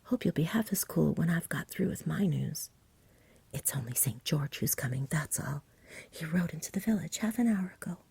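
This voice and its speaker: A woman's voice: sits low, lively intonation, normal speed, creaky, mellow, breathy edge, no room sound, exaggerated voice. A woman voicing an eager, cheeky, pleading village boy in a whispered ASMR storybook reading. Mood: cheeky, excited. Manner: urgent, cheeky, imploring.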